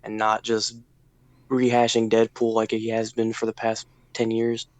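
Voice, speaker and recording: A man's voice: fairly low pitch, completely flat delivery, unhurried, no room sound. A man giving his opinions casually as a panelist on a podcast.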